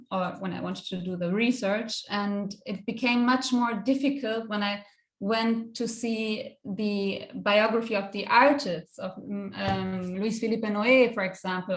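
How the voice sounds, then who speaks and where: A woman from Europe speaking as a conference panelist in a spontaneous academic discussion, halting and searching for words. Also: mid-range voice. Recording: echoey room sound.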